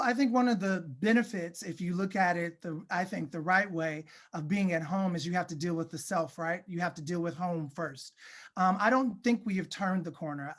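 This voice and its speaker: A man's voice: high-pitched, moderate pace, a bit bright. A man talking as an interview guest, conversational, articulate and emphatic in making points.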